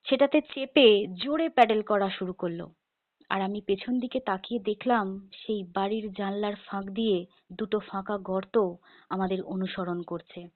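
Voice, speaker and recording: Female horror narrator, measured and dramatic, mid-pitched, on a narrow phone-like recording. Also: no echo. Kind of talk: scripted first-person ghost story narration. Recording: tinny phone-like narrow band.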